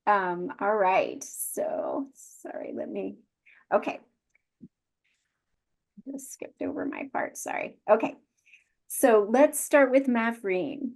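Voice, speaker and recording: A woman hosting an online webinar at an unhurried, slow pace. She reads out guest introductions, hesitating often with ums. Her voice sits at a middle pitch. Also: huge pitch swings, vocal fry, darkish tone. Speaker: webinar host. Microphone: video call.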